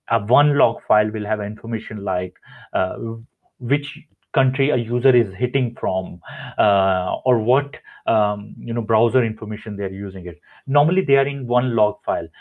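A man presenting a technical webinar over slides, explaining step by step with many fillers and restarts. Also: mid-range voice. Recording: tinny phone-like audio.

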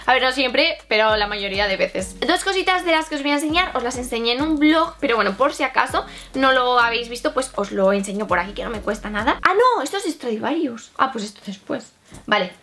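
A woman's voice: on the high side, lively intonation, brisk, pushed and bright. A woman in her twenties with a Castilian Spanish accent, chatting informally to camera in a casual haul vlog with rambling asides. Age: 20s. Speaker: vlogger. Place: small bedroom, indoors. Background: background music.

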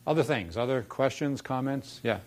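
A man in his sixties from Boston, with a Boston accent, telling wry stories in a relaxed lunchtime talk in a lecture room. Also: mid-range voice, moderate pace, slight background noise. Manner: wry storyteller, quoting people with punchlines. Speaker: former dean giving a talk. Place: dark lecture room in front of chalkboards.

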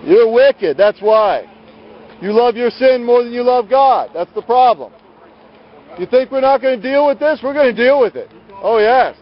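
A man preaching on the street in a loud, scolding, combative manner, confronting people outdoors. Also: extremely high pitch, normal speed. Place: outdoors at night on a city sidewalk beside a road. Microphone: handheld camera. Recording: muffled lo-fi phone camera audio.

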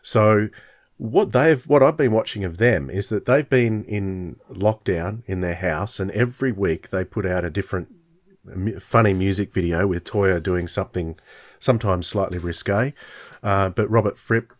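A man's voice: low-pitched, normal speed. A middle-aged man co-hosting a podcast, chatting casually and jokily as he gives recommendations into a close microphone. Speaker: podcast host. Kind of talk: casual chat, giving recommendations. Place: indoors on a video call. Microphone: large close microphone with headset.

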